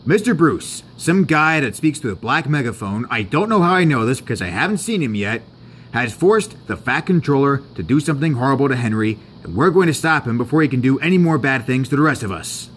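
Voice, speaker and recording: A man's voice: medium pitch, expressive, fairly quick, fairly bright tone, a little hiss or hum, natural voice. A man voicing a bossy, protective steam engine leader who is blunt and firm, in a homemade story. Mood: determined.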